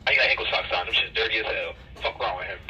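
A man's voice: lowish voice, racing, dull audio, a little hiss or hum. A blunt, bossy man giving rude prank orders through a walkie-talkie. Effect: walkie-talkie radio. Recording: walkie-talkie radio with loud music. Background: loud music.

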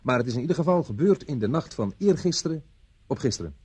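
A man's voice: medium pitch, animated, quick pace, a little mellow, breathy edge. A man acting in a radio play as a dutiful traffic policeman giving an official, precise, slightly hesitant report.

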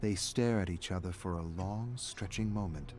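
A man's voice: low voice, moderate pace, a little mellow, soft background music, lightly acted. A man reading a scripted, dramatic story narration as the narrator. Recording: background noise under game narration.

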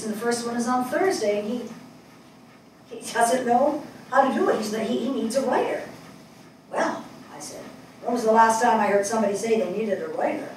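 A woman's voice: fairly high pitch, darkish tone, close to an everyday voice. Older lady acting a thoughtful wry journalist woman, stage reading, mic far off, bit of noise. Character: reflective, wry middle-aged New York woman journalist. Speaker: stage actress in a dramatic reading. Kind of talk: theatrical dramatic monologue read on stage. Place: indoor small theatre stage. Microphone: far camera. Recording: echoey theatre, distant mic, faint noise.

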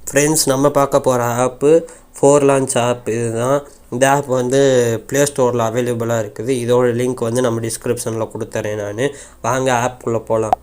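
A man's voice: mid-range voice, level tone, fairly quick. A man casually walking viewers through a phone app in an informal, rambling way with repeated filler phrases. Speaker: tech YouTuber. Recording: faint background noise.

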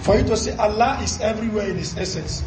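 A man's voice: high-pitched, quick pace, echoey room, background noise. A man in his forties preaching a Friday sermon in a study lined with books. He is emphatic and asks rhetorical questions, then answers them himself. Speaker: Islamic preacher.

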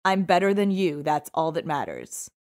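A woman reading an audiobook, voicing a grim masked male vigilante who speaks tersely and low. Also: big pitch swings, moderate pace, a little mellow, no echo, studio quality. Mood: stern. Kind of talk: audiobook character voice.

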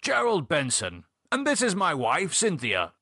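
A man's voice: a little high, huge pitch swings, measured pace, sharp tone, slightly rough, dry recording, studio quality, pitched above the performer's own voice, exaggerated voice. A man voicing a hearty older upper-class English society gent in an audiobook, with clipped, jovial phrasing. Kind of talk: audiobook character voice.

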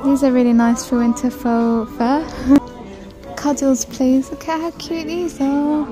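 A woman's voice: high-pitched, steady tone, takes it slowly, music in the background. A woman vlogging a walk through a supermarket on her phone, chatting and gushing over items as she reads out prices. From United Kingdom. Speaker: shopping vlogger. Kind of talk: shop-with-me walkthrough narration. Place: large supermarket, indoors.

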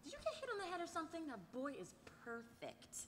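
A woman's voice: sits high, wildly swooping pitch, quick pace, fairly bright tone, heavily acted. A woman playing a brash, bossy young roommate with a breezy, slangy, emphatic delivery at a live table read. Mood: breezy, insistent. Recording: echoey convention hall, noisy.

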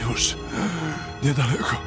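An actor playing a wounded, desperate hunted thief in a fantasy audio drama, speaking in strained, halting mutters and curses. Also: male voice, natural voice. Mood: pained, determined. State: in pain, labored, injured. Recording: loud music behind the voice.

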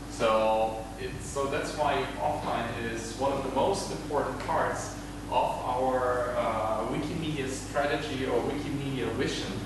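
Male workshop leader, 30s, halting explanatory delivery, distant mic with loud background noise. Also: mid-range voice. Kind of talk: informal workshop presentation. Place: classroom-like workshop room indoors. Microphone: camera mic from across the room. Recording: very noisy echoey room, distant mic.

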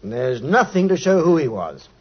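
An actor playing a businesslike British police inspector, clipped and matter-of-fact, in a 1950s radio drama. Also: male voice, fairly high pitch, very animated, moderate pace, slightly rough. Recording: dull, muffled 1950s radio recording.

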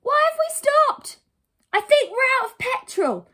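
A woman's voice: very high voice, big pitch swings, a little slow, pushed and bright, voice pushed up, full character voice. A woman voicing cheeky, defiant little boys, troublemaking brothers in a children's storybook, with a protesting manner. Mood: cheeky, puzzled. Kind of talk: children's storybook character reading.

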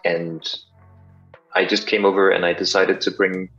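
Deep-voiced Danish man on a video call. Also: little pitch movement, measured pace, fairly bright tone, highs cut off, soft background music. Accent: Danish, slightly American-sounding English. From Denmark. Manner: thoughtful, self-deprecating, with many fillers. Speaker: guest partner. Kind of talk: casual video call chat. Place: small bright room indoors.

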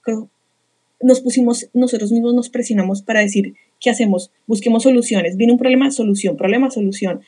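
A young woman with a slightly husky voice, speaking in a reflective, earnest way as a panel guest. She talks from an office over a laptop webcam on a video call. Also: a little high, fairly quick, mellow. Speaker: journalist and author, panel guest. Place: office room.

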